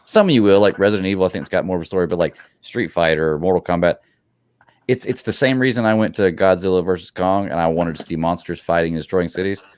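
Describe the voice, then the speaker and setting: A man's voice: sits low, fast, darkish tone, clean tone, dry recording. A man co-hosting a podcast in casual chat, enthusiastic and often repeating words as he starts a thought. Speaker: podcast co-host.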